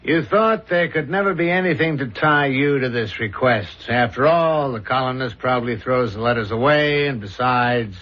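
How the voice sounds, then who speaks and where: An actor playing a calm, insistent police inspector in a 1970s radio drama, measured, pointed and authoritative. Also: male voice, medium pitch, lightly acted. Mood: methodical, accusing. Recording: dull vintage radio recording.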